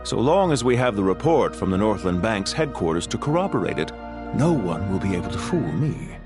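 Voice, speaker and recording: An actor playing a shrewd, guarded political power broker in a video game, terse and self-assured. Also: male voice, on the low side, sing-song, fairly quick, over music. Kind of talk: scripted video game acting.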